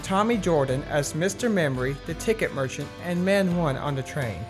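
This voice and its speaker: A woman announces from a script. Her voice is very deep for a woman, and guitar music plays clearly behind it. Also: lively intonation, moderate pace. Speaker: credits announcer.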